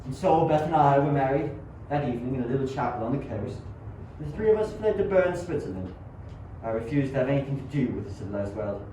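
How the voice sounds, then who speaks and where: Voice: medium pitch, lively intonation, quick pace, dark tone, close to an everyday voice. An amateur performer plays an anguished young scientist, dramatic and obsessive, in a homemade radio drama. The voice sits back from the mic in heavy echo, with a faint layer of noise. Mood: somber narrating. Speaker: amateur student performer and narrator. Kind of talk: amateur radio play acting and narration. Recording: very echoey, distant, faint noise.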